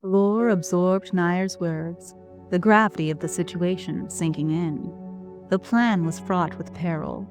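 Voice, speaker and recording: A woman narrating an audio drama in a slow, deliberate, grave way. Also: lowish voice, a little mellow, soft background music. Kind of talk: scripted narration.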